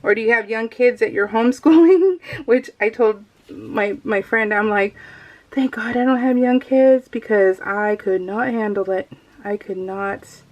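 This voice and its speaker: A woman's voice: fairly high pitch, measured pace, highs cut off. A middle-aged American woman chatting casually and informally as she narrates her craft project, in a rambling, self-deprecating way. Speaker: crafting vlogger. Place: indoors at a craft table, voiced live while working.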